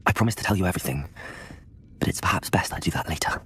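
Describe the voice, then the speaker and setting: An actor playing an eager young student wizard with a polite, earnest manner. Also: male voice, low voice, quick pace, fairly bright tone, husky, whispered, full character voice.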